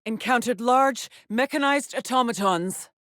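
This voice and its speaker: An actress playing a weary, determined lone space explorer in a video game, with a measured, solemn, haunted delivery. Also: female voice, a little high, a bit bright, dry recording, studio quality. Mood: urgent reporting. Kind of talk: dramatic game voice lines, short log entries.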